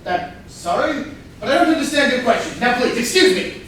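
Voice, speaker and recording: An actor playing a curt, formal butler with clipped politeness in a staged comedy reading. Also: male voice, extremely high pitch, animated, fairly quick, fairly bright tone. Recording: very echoey room, distant mic, faint noise.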